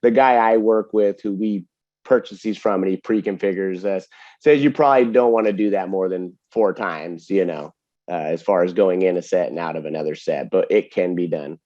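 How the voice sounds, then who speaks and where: A man with a fairly low voice answers technical questions in a casual webinar style. He explains things step by step and often tags on a check for agreement. The sound is a bit dull. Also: normal speed, dark tone, no echo. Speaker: webinar host and distributor product expert.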